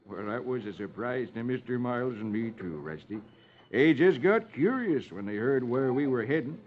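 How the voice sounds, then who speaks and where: An actor playing a plain, friendly cowboy horse hand with an American Western accent in a 1950s radio drama. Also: male voice, mid-range voice, expressive, normal speed, close to an everyday voice. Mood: easygoing. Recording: muffled, noisy old radio recording.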